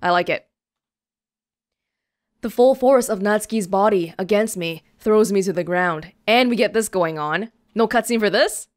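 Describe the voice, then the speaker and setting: A woman hosting a let's play, reading a visual novel aloud with playful, expressive casual commentary. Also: mid-range voice, moderate pace.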